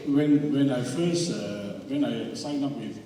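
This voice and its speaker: A man speaking on a panel, halting and repeating his words. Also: medium pitch, mellow. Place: large event hall. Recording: echoey hall, distant mic.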